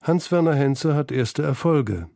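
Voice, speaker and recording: A man's voice: fairly high pitch, expressive, measured pace, creaky, warm tone, dry recording, studio quality. A man reading scripted narration for a documentary feature.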